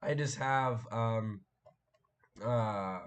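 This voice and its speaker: A young man hosting a casual live stream, his voice hoarse and cracking after a sore throat, rambling and clearing his throat as he chats with viewers. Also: medium pitch, drawn-out words. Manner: rambling, chatty, frequent fillers and throat clearing.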